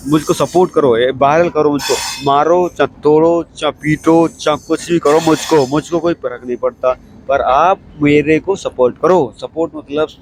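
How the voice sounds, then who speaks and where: A hoarse young man with a cold, chatting casually to camera as a vlogger and repeating himself often. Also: medium pitch, noisy background. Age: young adult. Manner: chatty and repetitive, keeps saying okay. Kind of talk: casual vlog talk to camera. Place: railway station platform, outdoors. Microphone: clip-on mic.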